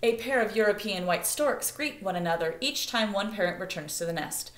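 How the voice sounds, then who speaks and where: A woman reads a nature picture book aloud as expressive narration meant for children. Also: mid-range voice, brisk. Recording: echoey room.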